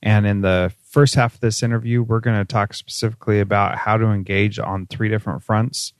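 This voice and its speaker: Man, podcast host, fairly low voice, dry close sound, upbeat, chatty. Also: level tone, fairly quick, no echo. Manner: upbeat and conversational, laughs easily. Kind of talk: podcast interview.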